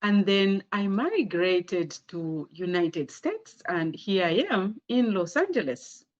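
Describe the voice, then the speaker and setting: Woman, Zambian accent, medium pitch, informal presenter, asks listeners questions, slightly lo-fi sound. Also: very animated, slow. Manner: conversational, often addressing the listeners with rhetorical questions. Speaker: speaker sharing her career story with girls.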